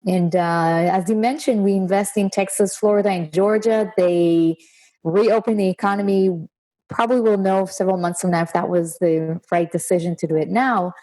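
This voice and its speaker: A woman in her thirties from Israel, a guest on an interview, talking relaxed and fluent with filler words. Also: mid-range voice, normal speed, poor audio. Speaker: guest, real estate investor. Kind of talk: conversational interview.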